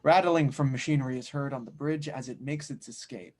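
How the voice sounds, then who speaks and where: Mid-pitched man narrating a script. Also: fairly quick, a little mellow. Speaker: narrator reading stage directions.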